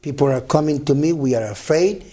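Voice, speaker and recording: Husky-voiced earnest reverend. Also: male voice, fairly high pitch, brisk, dark tone. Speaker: reverend, recall organizer. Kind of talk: studio talk show interview.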